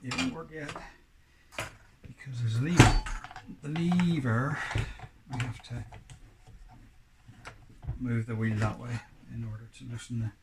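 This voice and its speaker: A man talks slowly through a hands-on repair job in a mid-pitched voice. He is casual and halting, often muttering to himself between steps. Clear background noise and clicks sit behind him. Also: fairly even intonation. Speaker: DIY repair presenter. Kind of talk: casual hands-on workshop narration. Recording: clearly noisy, with clicks and tool handling.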